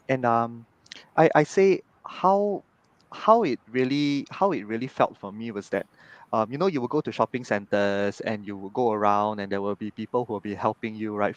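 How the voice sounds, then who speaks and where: Man telling lively stories. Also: fairly low pitch, dry recording, poor audio. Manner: animated, frequent "right?" tags. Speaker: guest. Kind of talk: casual storytelling in an interview. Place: home room on video call. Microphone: video call.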